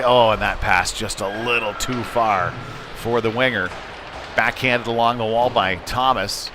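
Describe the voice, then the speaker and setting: A man with a medium-pitched voice calls a youth hockey game live. He speaks in short present-tense phrases and slips into conversational asides. Clear venue noise sits behind the commentary. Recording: noisy rink ambience behind live commentary. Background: sports venue noise.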